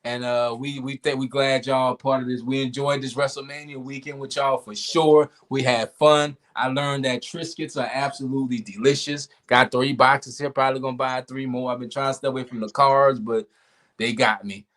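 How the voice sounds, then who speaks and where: Easygoing male podcast host, a bit hoarse. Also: mid-range voice, normal speed. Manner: laid-back, relaxed sign-off talk. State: losing his voice. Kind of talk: casual podcast chat.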